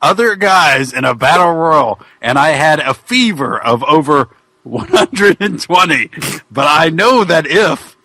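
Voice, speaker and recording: A man's voice: a little high, big pitch swings, measured pace, pushed and bright, dry recording, exaggerated voice, low-quality recording. A man voicing the ghost of a dim, folksy wrestler in a rambling, bumbling comic reading of fan fiction. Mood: boastful, rambling. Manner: rambling, bumbling, colloquial delivery.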